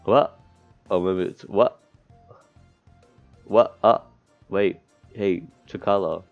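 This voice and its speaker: A man's voice: low voice, fairly even intonation, slow. A man reading visual novel text aloud as a let's player, stumbling over words and breaking off into offhand asides. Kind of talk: gameplay commentary, reading game text aloud. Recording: dull lo-fi mic, background music. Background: game music.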